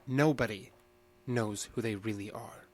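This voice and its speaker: A man's voice: fairly low pitch, unhurried, slight background noise, close to an everyday voice. An actor playing a stubborn, guarded diner manager in an audio drama, terse and insistent in his answers. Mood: confused, wary. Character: guarded, stubborn male diner assistant manager under questioning.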